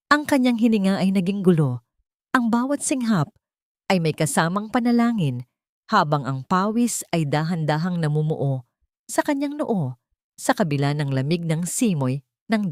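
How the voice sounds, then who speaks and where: A woman's voice: fairly low pitch, dry recording, clean studio sound. A woman delivering dramatic scripted narration for an audio drama, in a solemn, emotive storytelling manner.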